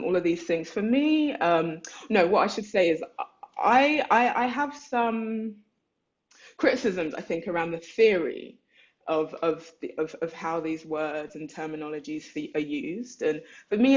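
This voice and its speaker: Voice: medium pitch, highs cut off. A lecturer giving an online talk about their own thesis, thinking aloud, searching for words, and reading their own letters aloud. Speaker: lecturer and artist.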